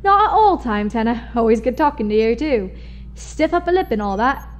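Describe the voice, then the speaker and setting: A woman with a British accent playing a plucky, busy pony timekeeper in an audio drama, brisk and quaintly spoken. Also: fairly high pitch, animated, close to an everyday voice. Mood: friendly.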